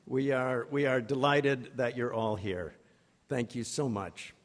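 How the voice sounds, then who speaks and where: A man speaking as an executive director, introducing a program with a formal welcome at a live event in a halting, hesitant way. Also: medium pitch, steady tone. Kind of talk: formal welcome speech at a conference. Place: live event before an audience.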